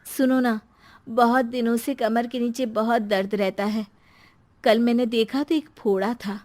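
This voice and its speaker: A woman's voice: fairly high pitch, fairly even intonation, measured pace, a little mellow, close to an everyday voice. A woman voicing a meek, long-suffering middle-aged mother and housewife in a cartoon story, hesitant and apologetic. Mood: pained, hesitant.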